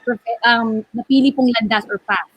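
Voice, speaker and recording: A woman's voice: fairly high pitch, fairly even intonation, normal speed, husky, dull audio. A woman with a Filipino accent co-hosting a talk show, asking friendly questions while searching for words. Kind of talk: hosting a talk show, mixing Tagalog and English.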